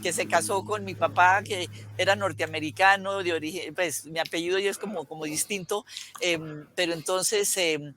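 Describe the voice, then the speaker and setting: A woman's voice: on the low side, steady tone, normal speed, fairly bright tone, slight background noise. Older Colombian woman telling stories. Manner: rambling storyteller with frequent restarts and fillers. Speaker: guest educator. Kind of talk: reminiscing in a video interview.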